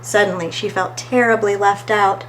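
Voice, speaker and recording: A woman reading a children's story aloud as an expressive storytime narrator, recorded with faint background noise. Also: medium pitch, normal speed, poor audio. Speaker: storytime reader.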